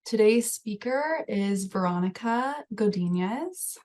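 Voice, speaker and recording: A woman's voice: mid-range voice, animated, takes it slowly, darkish tone. A woman research coordinator opening a webinar, reading an introduction from notes with "um" fillers, on a computer mic. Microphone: computer mic on a video call.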